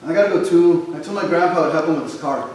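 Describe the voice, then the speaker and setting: A man's voice: fairly high pitch, fast talker, a little mellow, natural voice. A man playing a laid-back young friend in a staged reading, talking in slang, recorded from far off in a hall. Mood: friendly, casual. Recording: echoey hall from far, faint noise.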